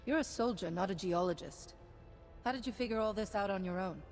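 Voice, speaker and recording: A woman acting a resolute, mid-pitched game heroine. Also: fairly quick, darkish tone, faint music behind, natural voice. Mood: probing. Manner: direct, assured. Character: resolute, wry Republic heroine taking charge. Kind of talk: video game cutscene acting.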